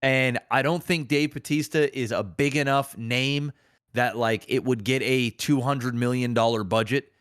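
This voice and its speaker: A man's voice: mid-range voice, steady tone, unhurried, fairly bright tone, smooth, no echo. Emphatic, slangy male podcast host, dry sound. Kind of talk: casual opinionated podcast chat.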